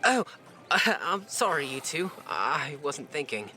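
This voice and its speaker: A man's voice: fairly high pitch, very animated, takes it slowly, pushed and bright, soft background music, exaggerated voice. A man playing a breezy, privileged young lord's son in an audio drama, chatty and flippant. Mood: flustered.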